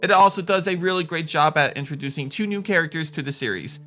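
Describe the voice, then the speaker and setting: A man with a bright, forceful voice pitched a bit high narrates a scripted game countdown. He is emphatic and enthusiastic, with faint music underneath. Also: animated, fast talker. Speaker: video game countdown host.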